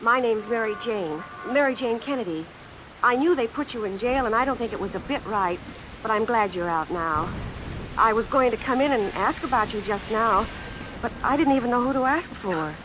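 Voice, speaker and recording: An actress playing an earnest, guarded young American secretary, hesitant and polite, in old-time radio suspense style. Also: female voice, on the high side, lively intonation, normal speed, lightly acted. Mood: shy, grateful. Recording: vintage radio recording, hiss and heavy rain. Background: rain sounds added over the broadcast.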